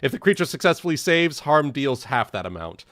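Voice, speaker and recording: A man's voice: mid-range voice, sing-song, brisk, a bit bright. A man chatting casually as a player in a tabletop fantasy game, explaining his moves and rules.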